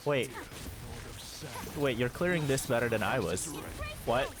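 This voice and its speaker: A man's voice: medium pitch, expressive, a little slow, background noise. A man chatting casually as a game streamer, often repeating and rephrasing himself. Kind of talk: casual gaming chat.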